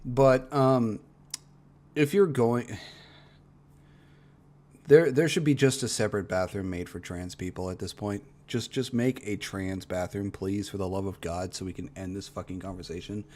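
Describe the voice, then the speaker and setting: A man's voice: fairly low pitch, steady tone, normal speed, darkish tone. A man doing casual reaction commentary as a streamer, talking bluntly and swearing often. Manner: blunt, profane ranting with frequent swearing.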